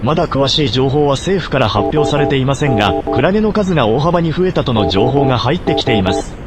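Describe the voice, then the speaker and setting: A man's voice: medium pitch, animated, fast, loud music behind the voice, natural voice. A man playing a formal reporter delivering a scripted emergency news bulletin. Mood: urgent, formal.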